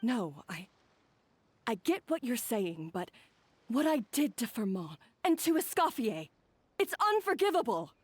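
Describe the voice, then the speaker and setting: An actress playing a proud but remorseful, self-doubting female head chef in a video game, speaking in an earnest, halting, dramatic way. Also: fairly high pitch, big pitch swings, breathy edge, full character voice. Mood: guilty, anguished.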